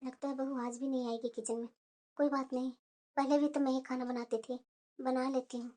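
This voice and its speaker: A patient middle-aged mother-in-law from a cartoon household, played by a woman. Her voice sits fairly high, coaxing and placating, with a quietly aggrieved, plaintive edge. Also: flat delivery, a little fast, faint music behind, natural voice. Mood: quietly worried. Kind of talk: cartoon family drama dialogue.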